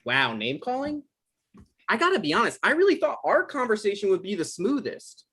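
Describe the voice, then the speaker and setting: A man acting an evasive, awkward novelist in a comedy-drama table read, halting and defensive, with stammers. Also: sits high, huge pitch swings, pushed and bright, husky, heavily acted. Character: Michael, evasive, awkward novelist visiting his ex.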